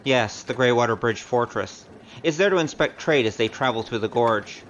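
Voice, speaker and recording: A breezy rogue of an adventurer, played by a man, talks in a casual, wry way, as if chatting on the road. His voice sits at an ordinary male pitch in this audio drama, over clearly audible noise. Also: lively intonation, moderate pace, a bit bright, lo-fi sound, natural voice. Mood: chatty, wry.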